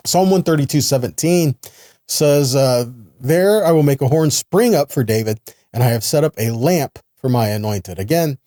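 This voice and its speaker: A man's voice: medium pitch, dark tone, no echo. Man preaching, dry close sound, darker voice. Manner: earnest, emphatic, explanatory, with rhetorical questions. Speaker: preacher and Bible teacher. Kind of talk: sermon teaching with scripture readings.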